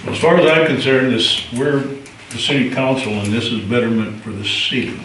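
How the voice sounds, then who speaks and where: A man's voice: medium pitch, normal speed, a bit bright. An American man talking informally as a council member in a meeting. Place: indoor meeting room, far mic. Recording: very echoey room, distant mic, noisy.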